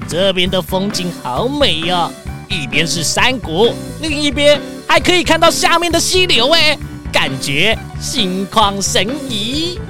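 A woman playing a fussy, excitable rich man who frets and whines in a children's audio detective drama. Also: on the high side, a little slow, music in the background. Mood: awed. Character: fussy, panicky rich man frantic about his sports car.

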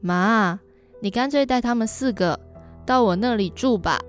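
Woman playing a concerned, higher-voiced aunt. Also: normal speed, faint music behind, natural voice. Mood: concerned, persuading. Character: concerned, caring adult aunt, sighing but dutiful. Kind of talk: radio drama acting.

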